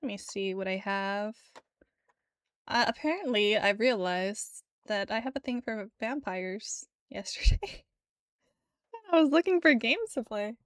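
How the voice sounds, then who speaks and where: A woman livestreamer chatting casually with her viewers in a playful, giggly, expressive way. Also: medium pitch, measured pace, no echo, clean studio sound. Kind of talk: casual chat with stream viewers.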